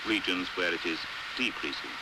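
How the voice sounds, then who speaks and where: A British man narrating a 1950s educational film in a measured, precise, didactic way, on an old hissy soundtrack. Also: sits low. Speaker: documentary narrator. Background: faint hiss.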